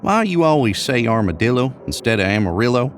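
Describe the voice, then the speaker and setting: A man's voice: mid-range voice, sing-song, brisk, a little hiss or hum, voice pushed up, close to an everyday voice. A man playing an irritable, skeptical cowboy on the trail, with plainspoken, grumbling frontier talk, in a Western audio story. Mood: irritated.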